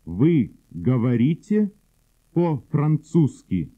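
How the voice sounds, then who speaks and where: A man reading drill sentences slowly and deliberately, word by word, for learners to repeat. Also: mid-range voice, big pitch swings, mellow. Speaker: language instructor. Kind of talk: slow drill sentences for repetition. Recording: husky, phone-like old cassette audio.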